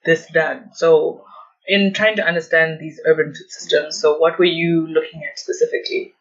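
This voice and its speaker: A man's voice: fairly high pitch, flat delivery, moderate pace, a bit bright. A man hosting a podcast, chatting casually and encouragingly with a guest. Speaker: podcast host. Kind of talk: casual interview chat. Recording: dull lo-fi audio.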